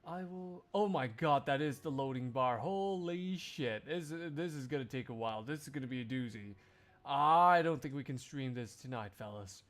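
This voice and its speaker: A man's voice: medium pitch, lively intonation, normal speed, a bit bright. A man livestreaming, chatting casually to his viewers in a jokey, rambling, exclamatory way. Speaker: live streamer.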